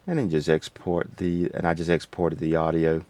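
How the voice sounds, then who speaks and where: A man hosting a casual, unscripted software tutorial in a rambling, folksy way. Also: deep voice, level tone, normal speed, dry recording. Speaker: tutorial host.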